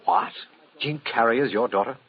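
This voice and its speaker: An actor playing an earnest, slightly baffled district attorney in an old-time radio mystery, formal and questioning. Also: male voice, mid-range voice, normal speed, slightly rough, lightly acted. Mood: puzzled, official. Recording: muffled vintage radio recording.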